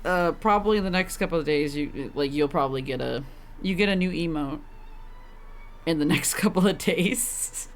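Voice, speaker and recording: A woman's voice: sits low, moderate pace. A young woman livestreaming a game in casual chat, with a playful, sarcastic manner. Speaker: game streamer.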